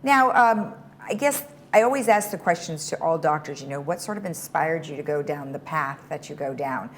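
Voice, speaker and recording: A woman's voice: deep voice, lively intonation, normal speed, a little hiss or hum. A woman hosting a community TV interview, asking questions in an upbeat, conversational way. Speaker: host. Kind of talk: casual interview talk.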